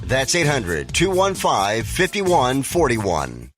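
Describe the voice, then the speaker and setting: A man announcing in a radio ad, spelling out details deliberately. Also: mid-range voice, wildly swooping pitch, fairly bright tone. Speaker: commercial announcer. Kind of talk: deliberate phone-number read in an ad. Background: faint music.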